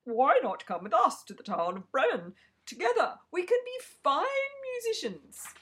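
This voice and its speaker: A woman voicing a hopeful male donkey in a storybook, loud and cheerful. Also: high-pitched, wildly swooping pitch, normal speed, pitched above the performer's own voice, exaggerated voice. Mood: eager.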